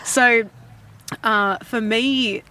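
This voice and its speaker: A woman's voice: fairly high pitch, steady tone, extremely slow, sharp tone. An Australian woman hosting a podcast, asking questions in a thoughtful, reflective way. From Western Sydney, Australia. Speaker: podcast host. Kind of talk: interviewing.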